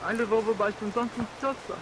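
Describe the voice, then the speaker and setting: A man's voice: sits high, steady tone, quick pace, noisy background, close to an everyday voice. A male actor playing a timid, polite young apprentice boy, eager and deferential, in vintage radio drama style. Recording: vintage radio recording with rain.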